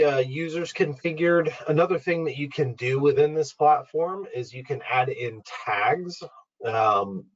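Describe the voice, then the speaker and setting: A man presenting an informal, step-by-step software walkthrough in an explanatory, instructional way, as a webinar trainer. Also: medium pitch, dull audio. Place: online webinar screen-share.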